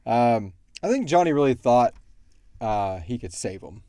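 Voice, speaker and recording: A man's voice: medium pitch, sing-song, measured pace, warm tone, no echo, clean studio sound. A man hosting a casual question-and-answer podcast, talking in an informal, joking, slangy way. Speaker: podcast host and show creator. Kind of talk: casual Q&A discussion.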